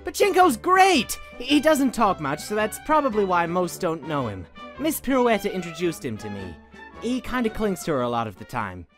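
A cheeky young cup-headed cartoon fellow, voiced by a man, chatters in a boyish, informal way. His pitch jumps around a lot, and soft music plays underneath. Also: very animated, moderate pace. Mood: casual, wry. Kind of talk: animated comic dub acting.

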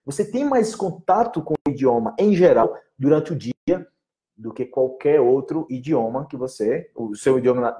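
A man, teaching in a casual live stream, animated and often checking that listeners follow. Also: fairly high pitch. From Northeast Brazil. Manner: animated, gestures a lot, often asks "entendeu?". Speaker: Portuguese teacher.

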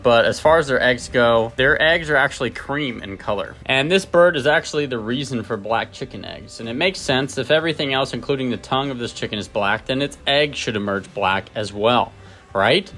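A man's voice: medium pitch, fairly quick, a bit bright. A man giving a scripted, lightly playful voice-over narration.

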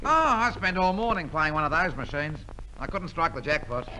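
An actor playing a cheeky, deadpan Australian bloke in a 1950s radio comedy. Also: male voice, a little high, normal speed. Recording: noisy 1950s radio recording.

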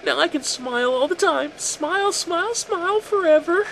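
A deranged young killer, shrill and unhinged, as a man puts him on. He talks in a high, bright, singsong voice. He sounds like a horror storybook figure come alive. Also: expressive, moderate pace, gravelly, a little hiss or hum, full character voice, rough recording. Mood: eerie delight. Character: deranged young male killer, self-mutilated smile.

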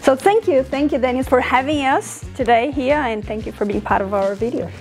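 Female vlog host, Brazilian accent, higher-pitched voice, upbeat delivery, music underneath. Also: moderate pace, a little mellow. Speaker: presenter. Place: indoor classrooms and corridors of a school. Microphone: lapel.

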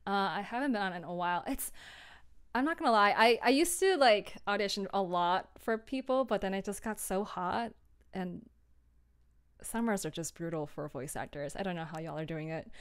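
A woman's voice: mid-range voice, moderate pace, clean tone. A young woman chatting casually on a livestream and answering viewers in a scattered, self-interrupting, informal way. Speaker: audio drama producer and livestreamer.

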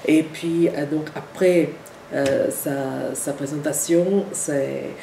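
A woman, a from Sri Lanka, giving an academic lecture in a halting way, with many restarts and repeated words. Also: low voice, fairly even intonation, vocal fry, warm tone. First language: Sinhala. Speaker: guest lecturer. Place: university seminar room. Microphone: far camera. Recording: echoey room from far off, faint noise.

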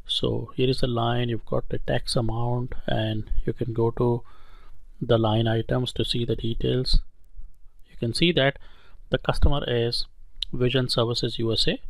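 A man giving a step-by-step, explanatory voice-over as an instructor in a screen-recorded software tutorial. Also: mid-range voice, level tone, unhurried, creaky, no room sound.

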